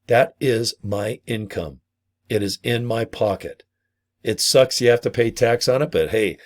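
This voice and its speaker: A middle-aged man with a hoarse, gravelly voice from a vocal cord injury, casually pitching his online business and often calling his viewers "guys". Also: darkish tone. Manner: chatty, repeats "guys" and "okay". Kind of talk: casual sales pitch to camera.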